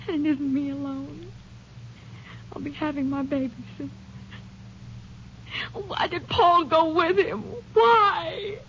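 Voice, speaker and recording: A desperate pregnant young wife. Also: female voice, high voice, steady tone, slow, pauses often, fairly bright tone, slight background noise, exaggerated voice. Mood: distraught. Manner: pleading, distraught. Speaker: prisoner's wife. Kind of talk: 1950s radio drama acting. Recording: dull vintage radio broadcast.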